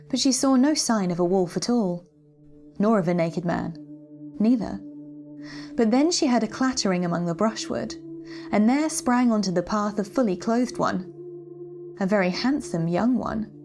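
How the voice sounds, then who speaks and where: A woman's voice: medium pitch, darkish tone. Woman narrating a literary tale over music. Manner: measured, descriptive storytelling delivery. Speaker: story reader.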